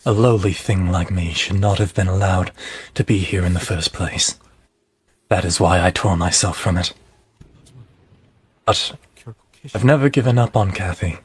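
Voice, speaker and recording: A man's voice: a little slow, pauses often, breathy edge, full character voice. A man voicing an anguished, self-loathing young man maddened by grief over lost love, in an amateur game dub. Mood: despairing. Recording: low-quality amateur voice-chat recording.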